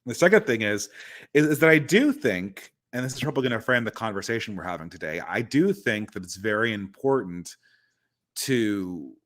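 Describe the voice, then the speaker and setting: A male host with a low voice talks through a casual live stream from home. He rambles, circling back with many false starts. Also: lively intonation, normal speed, fairly bright tone.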